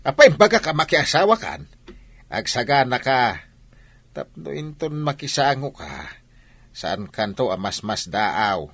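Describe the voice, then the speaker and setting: A man playing a stubborn, scolding father in an Ilocano audio drama, with a husky voice. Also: medium pitch, very animated, a little slow, a bit bright, no echo, heavily acted. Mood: insistent, scolding. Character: stubborn, scolding father arranging his daughter's marriage.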